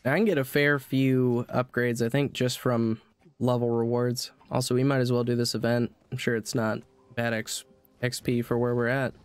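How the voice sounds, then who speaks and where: A man's voice: medium pitch, flat delivery, normal speed, clean tone, dry recording. Sniffly man chatting over gameplay. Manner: chatty, rambling, talks to chat. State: sniffly with a cold. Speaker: streamer. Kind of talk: casual gameplay commentary. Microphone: desk mic.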